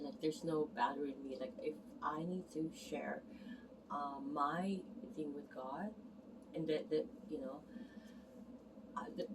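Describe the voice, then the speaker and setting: A woman massage therapist talking casually and earnestly to camera, rambling and correcting herself as she goes. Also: sits low. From Canada immigrant, arrived as a teen. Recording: distant mic, noticeable background noise.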